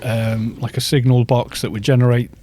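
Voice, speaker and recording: Mellow-voiced British man, chatty streamer. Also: medium pitch, little pitch movement, normal speed, a little hiss or hum. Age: 50s. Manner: rambling, chatty, reads viewer chat aloud. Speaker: gaming streamer. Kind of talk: casual stream chat while gaming.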